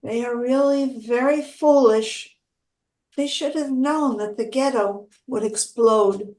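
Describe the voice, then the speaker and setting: Woman as dying resistance fighter, high voice, slow, quietly proud, echoey stage drama. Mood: weak, proud.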